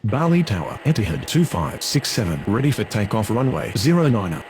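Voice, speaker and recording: A man's voice: on the low side, sing-song, fairly quick, a little mellow, slight background noise. A man voicing a businesslike, formal pilot and controller in a game, using clipped radio phraseology.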